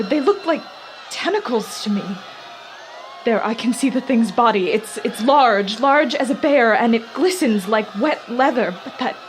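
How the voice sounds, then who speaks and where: A woman playing an on-scene radio news reporter in a radio play, giving dramatic, halting eyewitness commentary in growing horror. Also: high-pitched, slight background noise. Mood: horrified awe. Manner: dramatic, halting, with pauses and repeated words.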